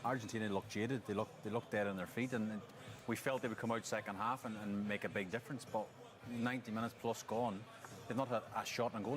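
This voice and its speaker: Casting: male football pundit, emphatic and quick, lowish voice, crowd behind. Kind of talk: live match analysis.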